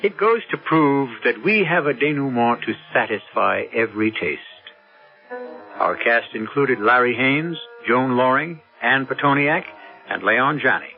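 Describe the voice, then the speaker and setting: Measured American radio mystery host over music. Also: male voice, medium pitch, expressive. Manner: measured, theatrical, wry. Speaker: host and narrator. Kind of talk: 1970s radio mystery narration. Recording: narrow-band old radio, music behind.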